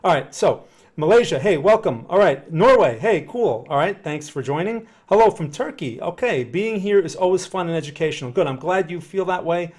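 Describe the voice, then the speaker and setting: A man's voice: a little high, lively intonation, normal speed, darkish tone. A man in his thirties with a New Jersey accent, hosting a live market-analysis stream from a small room, talking in a loose conversational way and often checking in with "right?". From northern New Jersey, USA. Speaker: market analyst livestream host. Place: small room in front of a blue backdrop.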